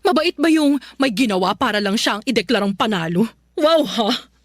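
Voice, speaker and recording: A woman's voice: on the high side, expressive, moderate pace, no room sound, clean studio sound. An actress playing a stubborn, middle-aged mother who scolds and accuses in a radio drama. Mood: indignant. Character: stubborn indignant mother sore about losing election.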